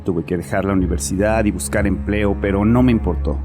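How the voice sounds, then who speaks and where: A man's voice: low voice, fairly even intonation, a little fast. An actor playing a bitter, anguished man in his late twenties confessing to a therapist in an audio drama, defensive and scornful. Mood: bitter, reminiscing. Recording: music under the voice.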